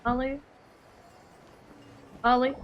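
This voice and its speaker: A woman's voice: high voice, flat delivery, very slow, dull audio, a little hiss or hum. A woman streamer giving casual commentary on a video game in an irreverent, slangy manner. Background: music.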